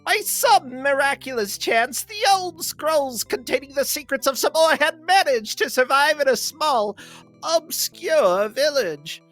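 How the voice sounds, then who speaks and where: A grand, theatrical storybook narrator played by a woman recounts an ancient legend. The voice is pitched very high and moves slowly, with faint music underneath. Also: lively intonation, a bit bright. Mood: grandly dramatic. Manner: slow, theatrical read-aloud.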